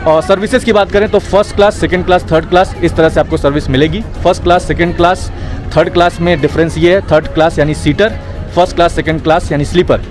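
A man's voice: on the high side, steady tone, quick pace. A young man from India, chatting casually to viewers as a travel vlogger, recorded outdoors on a camera held at arm's length. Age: young adult. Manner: chatty, addresses viewers as brother. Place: outdoors at a busy railway station. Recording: outdoor crowd noise, music behind.

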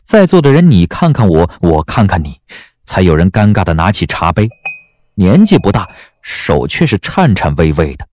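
A man's voice: sits low, very animated, normal speed, darkish tone, dry recording, clean studio sound. A man narrating a Chinese period novel as a dramatic scripted audiobook. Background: background music.

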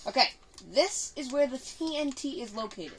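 Casting: female gaming host, high lively voice, bossy and teasing, improvised banter, recorded casually in a room. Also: moderate pace. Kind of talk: casual improvised gaming commentary with play-acted bickering. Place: indoor room, filming a TV screen. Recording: noisy room recording with clicking.

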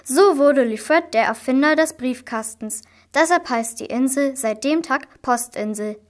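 A woman's voice: high voice, measured pace. A primary school girl reading scripted storybook narration as a narrator. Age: children of primary school age. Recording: clearly noisy amateur recording, clicks.

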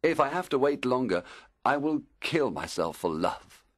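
A man voicing a lovesick, earnest young gentleman in a storybook, with an ardent, pleading manner. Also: fairly low pitch, very animated, a little slow, no room sound, clean studio sound. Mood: desperate pleading.

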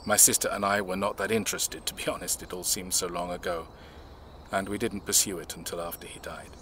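A man acting in an audio drama, playing a reserved, measured man who recounts his family's past in a reflective way. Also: low-pitched, level tone, bright, forceful tone, slight background noise.

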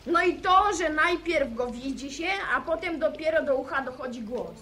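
A woman's voice: high voice, normal speed, sharp tone. A performer voicing a curious, know-it-all village boy who explains things earnestly in a 1950s radio drama. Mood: explaining. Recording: echoey old radio recording.

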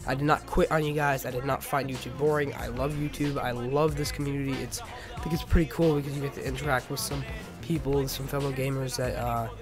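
A teenage male, slightly sick, giving casual, rambling and halting gameplay commentary as host of a gaming video. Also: mid-range voice, level tone. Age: teenager, about 15. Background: music.

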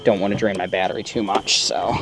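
A man's voice: low-pitched, little pitch movement, quick pace, heavy background noise. A young man casually showing off his car in a vlog, rambling with many ums, filmed on a handheld camera in and around his parked car. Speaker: vlogger. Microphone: handheld camera mic.

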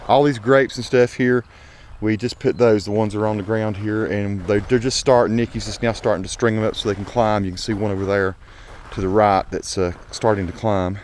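Male garden-tour host, fairly deep, conversational and unscripted, on noisy outdoor action camera sound. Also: fairly even intonation, fairly quick, a little mellow. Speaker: home gardener giving a tour. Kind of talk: casual vlog narration. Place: outdoors in a home garden, walking. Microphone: action camera mic.

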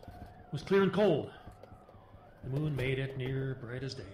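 A weathered male survivor voiced by an actor tells his grim tale in a radio horror play. His delivery is solemn and folksy, with halting, clipped sentences at a middling pitch. The room is echoey, with clear background noise. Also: expressive, a little mellow, natural voice. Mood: grim recollection. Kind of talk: radio horror drama monologue.

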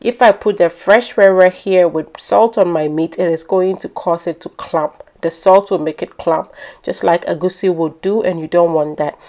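Woman, Ghanaian accent, slightly low pitch, conversational cooking narration, explanatory, home cook host. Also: moderate pace, dark tone. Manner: explanatory, chatty storytelling.

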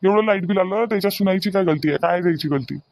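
An easygoing cartoon father-in-law played by a man talks fast in a slightly high voice with a Varhadi Marathi accent. He pleads for peace, repeating himself, in a dry recording. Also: a little mellow, dry recording. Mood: pleading, mild protest. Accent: Varhadi Marathi (Vidarbha). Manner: repetitive, pleading let-it-go phrasing. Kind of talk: comedic cartoon dialogue.

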